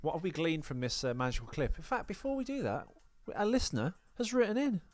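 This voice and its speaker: A man's voice: fairly high pitch, big pitch swings, fast, no room sound. A man hosting a podcast, explaining things in casual, digressive film chat. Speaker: podcast host.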